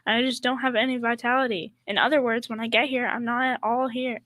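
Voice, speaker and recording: A woman's voice: a little high, fairly even intonation, a little fast, fairly bright tone, dry recording, natural voice. A young female performer playing a dim-witted, sweet, naive secretary in old radio comedy style. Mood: dim, earnest. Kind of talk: 1940s radio comedy acting.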